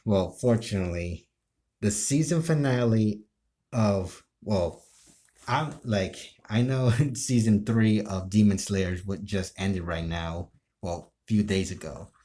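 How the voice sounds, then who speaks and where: Low-voiced man chatting casually. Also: slow, mellow. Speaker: amateur role-play video creator. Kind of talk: casual chat about his role play.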